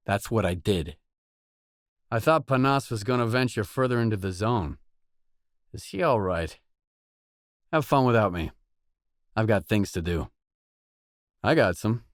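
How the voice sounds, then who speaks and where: An actor playing a wary, blunt mercenary stalker in a video game, with terse, dry, matter-of-fact delivery. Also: male voice, fairly low pitch, no echo. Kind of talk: scripted game voice lines.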